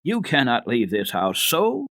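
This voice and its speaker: A man voicing a stern, fault-finding female housekeeper in a children's storybook reading, with disapproving, measured speech. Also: mid-range voice, huge pitch swings, a bit bright, dry recording, studio quality, heavily acted.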